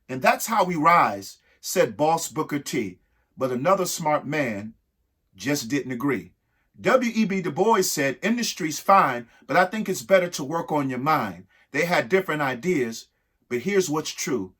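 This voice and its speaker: Animated, unhurried man reading a picture book aloud. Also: fairly high pitch, husky. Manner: theatrical, emphatic delivery with dramatic pauses. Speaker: children's book reader. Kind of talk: expressive picture-book read-aloud with short asides to young listeners.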